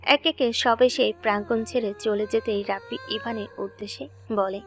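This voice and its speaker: A woman's voice: fairly high pitch, fairly even intonation, normal speed, a bit bright, soft background music. A woman reading scripted story narration as the narrator of an audio drama.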